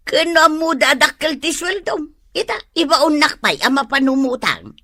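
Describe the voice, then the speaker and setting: A woman's voice: high-pitched, expressive, normal speed, sharp tone, dry recording, studio quality, exaggerated voice. An actress playing a plaintive, nagging elderly mother with an Ilocano Filipino accent in a radio drama.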